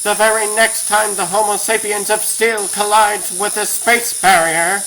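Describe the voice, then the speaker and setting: A man playing a teasing, dramatic narrator of a cartoon comic dub, deliberate and arch. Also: sits high, steady tone, pushed and bright, slight background noise, heavily acted, low-quality recording. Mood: arch, suspenseful.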